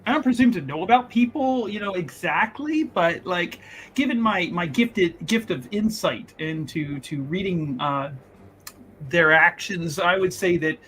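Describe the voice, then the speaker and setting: High, bright-voiced man at a game table. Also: lively intonation, a little hiss or hum. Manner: halting, repeats words. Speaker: tabletop roleplay player. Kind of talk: casual in-game banter.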